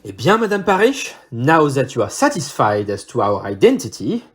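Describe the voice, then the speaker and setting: Courteous Belgian male sleuth, French accent, husky timbre, hugely animated melody, formal audio-play delivery. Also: mid-range voice, normal speed, faint music behind. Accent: French-speaking Belgian. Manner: formal, theatrical, French interjections. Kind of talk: amateur audio play acting.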